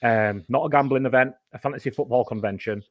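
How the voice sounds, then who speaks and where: A man's voice: medium pitch, fast, dry recording. A man, a podcast guest, explaining a topic in casual chat, talking at length and giving examples.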